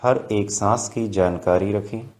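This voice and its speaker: A man guiding a meditation in Hindi with calm, measured instructions. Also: on the low side. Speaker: meditation guide.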